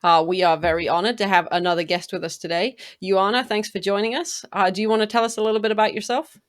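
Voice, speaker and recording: A woman's voice: mid-range voice, fairly even intonation, fast. A woman hosting a casual podcast, upbeat, keeping her replies short and encouraging. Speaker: podcast host.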